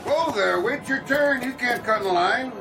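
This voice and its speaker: An actor playing a brusque, bossy carousel attendant in a radio drama, barking orders in a folksy way. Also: male voice, very high-pitched, lively intonation, measured pace, full character voice. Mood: brusque, scolding. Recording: radio drama with clear music behind.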